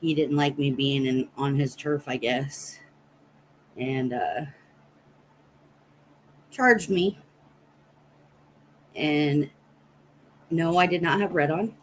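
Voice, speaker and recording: A woman's voice: sits low, slow pace, long pauses, a little hiss or hum. An American woman telling rambling personal stories casually to livestream viewers, as the host of the stream. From Nebraska, United States. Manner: rambling, anecdotal, chatting with viewers. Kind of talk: casual storytelling chat on a livestream.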